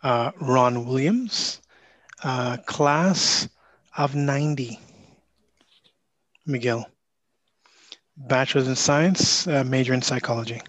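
Man in his fifties, slow and terse, dry close sound. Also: mid-range voice, fairly bright tone, dry recording, rough recording. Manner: terse, clipped phrases with "uh". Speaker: interviewee.

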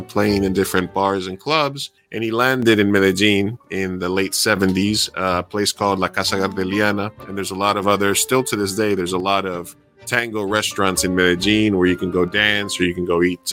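A man's voice: deep voice, a bit bright. A man in his forties telling his story casually as a podcast guest, slipping Spanish phrases into his English. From born in Colombia, raised between South America and the US. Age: 40s.

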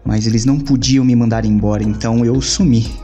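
A man's voice: mid-range voice, steady tone, fairly quick, warm tone, lo-fi sound, lightly acted. A man acting in an audio drama as a jaded, slangy hacker loner in a cyberpunk city. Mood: brooding, longing. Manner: brooding, slangy. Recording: music behind the voice.

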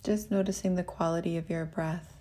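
A woman with a low voice leads a guided meditation as the teacher. She speaks gently and without hurry, giving soothing instructions. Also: steady tone, brisk.

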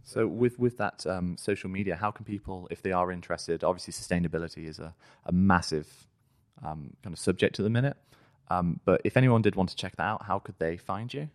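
Deep-voiced podcast host. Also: a little fast, dry recording, studio quality. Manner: loose, with filler ums. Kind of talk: casual interview.

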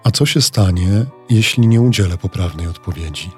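An actor playing a brave, wary but resolute king in a fairy-tale audio drama, speaking gravely and directly. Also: low-pitched, normal speed, faint music behind, natural voice.

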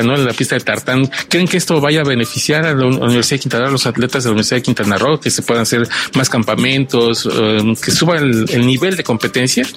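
A man with a Mexican accent hosting a conversational radio interview, wordy and halting as he corrects himself. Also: medium pitch, a bit bright. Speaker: radio show host.

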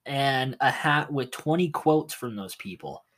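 A man's voice: mid-range voice, animated, unhurried, a bit bright. A young man co-hosting a casual podcast, stuffy-nosed from allergies, laughing and teasing often. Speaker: podcast co-host.

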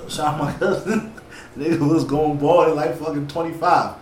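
A man's voice: a little high, fairly even intonation, moderate pace. A man in his thirties co-hosting a casual chat, loose and joking with a lot of swearing. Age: 30s. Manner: loose, joking, profane banter. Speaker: podcast co-host. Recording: roomy room, faint hiss.

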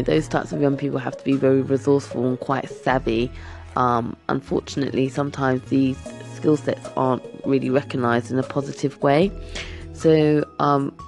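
A young adult British youth worker chatting casually to camera, croaky from just waking up, earnest and full of fillers. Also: medium pitch, darkish tone. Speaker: youth worker and gaming vlogger.

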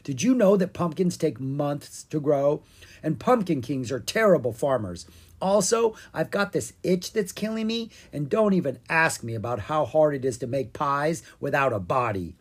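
A grumpy pumpkin-head king from a children's storybook, acted out by a narrator, pitched up and swooping with every boast. He is huffy, theatrical and full of his own importance, with a husky edge to the voice. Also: animated, normal speed. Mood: huffy, griping. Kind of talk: animated children's storybook character reading.

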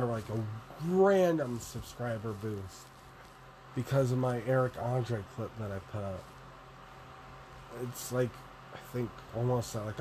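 A young man with a deep voice, rambling through a solo vlog, self-deprecating and swearing casually. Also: noisy background. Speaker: podcaster and vlogger.

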